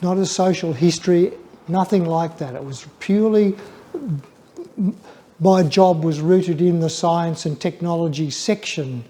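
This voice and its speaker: An older Australian man giving an informal public talk, reflective and hesitant, often stammering over the starts of phrases in a flat, dull voice. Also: fairly high pitch, a little slow. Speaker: guest speaker, former museum photography curator.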